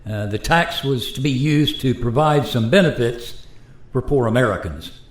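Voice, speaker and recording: A man's voice: mid-range voice, moderate pace, natural voice. A man playing a sheepish, resigned modern American in a radio play, explaining things hesitantly and apologetically. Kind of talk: amateur radio play acting. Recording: roomy, echoey voice recording.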